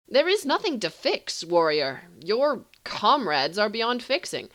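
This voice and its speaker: A woman's voice: medium pitch, sing-song, takes it slowly, fairly bright tone, pitched above the performer's own voice. A woman voicing a haughty, condescending male sorcerer with a clipped, superior manner. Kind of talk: storybook reading.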